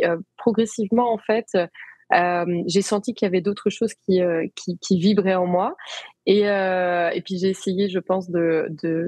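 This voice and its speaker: A woman's voice: on the low side, darkish tone, no room sound. A woman from France with a French accent, telling her story as an interview guest with many fillers and restarts. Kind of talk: conversational interview.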